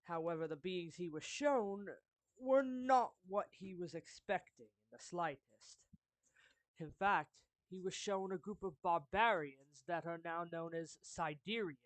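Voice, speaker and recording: A performer voicing a suave, courtly villain turned gently protective friend in an anime fan roleplay, speaking formally and deliberately with pauses mid-phrase. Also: mid-range voice, dry recording, lightly acted, poor audio. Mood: explanatory, grave. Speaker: character voice actor. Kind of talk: anime fan roleplay monologue addressed to the listener.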